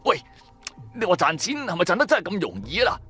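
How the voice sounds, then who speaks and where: A man playing an excitable, whiny, pestering young male sidekick in a radio drama, exclaiming and complaining. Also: high voice, brisk, faint music behind, heavily acted. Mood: dazed, whiny. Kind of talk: Cantonese radio drama acting.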